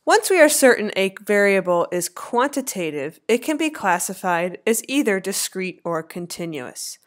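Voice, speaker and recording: A woman in her thirties narrating a slideshow lecture as an instructor, with clear, lively teacherly emphasis. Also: medium pitch, normal speed. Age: 30s.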